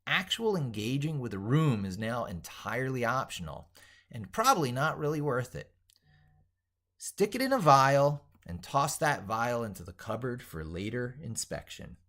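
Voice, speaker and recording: A man's voice: medium pitch, sing-song, normal speed, pushed and bright. A middle-aged man reading his own essay aloud as he works on it, in a theatrical, wordy, emphatic way. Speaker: tech educator and video host.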